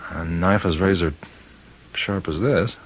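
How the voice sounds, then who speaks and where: An actor playing a respected prison warden who is growing uneasy, halting and brooding, in an old-time radio mystery. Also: male voice, low-pitched, breathy edge, rough recording. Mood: musing, domestic. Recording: vintage radio drama recording.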